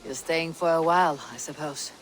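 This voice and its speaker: Woman playing low-voiced, blunt Viking warrior woman. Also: fairly even intonation, moderate pace, a little hiss or hum, close to an everyday voice, rough recording. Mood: measured. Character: proud, blunt female Viking warrior of the Raven clan. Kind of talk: video game cutscene acting.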